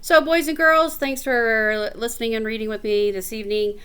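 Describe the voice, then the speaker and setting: A woman's voice: a little high, moderate pace, clean tone. A middle-aged woman, a teacher, reading a picture book to children with chatty asides. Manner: animated, chatty, explains words to children.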